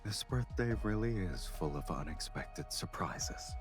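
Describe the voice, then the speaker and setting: An actor voicing a suave, teasing adult man in a romance game, speaking with slow amusement. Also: low-pitched, expressive. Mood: amused. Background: game music.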